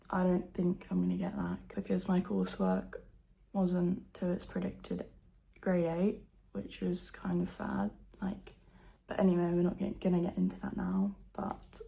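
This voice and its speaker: A teenage girl vlogging at home, her voice croaky from just waking up, talking softly and half-whispering. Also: fairly low pitch, unhurried, few pauses. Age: teens. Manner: soft, half-whispered, nervous chatter. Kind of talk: casual to-camera vlog. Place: at home indoors.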